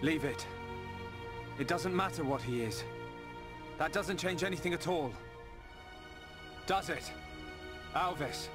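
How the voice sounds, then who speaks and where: A man's voice: fairly high pitch, takes it slowly, pauses often. A man with a British accent playing a sincere, determined teenage hero in a video game. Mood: resolute. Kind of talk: video game cutscene acting. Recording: loud music under the dialogue.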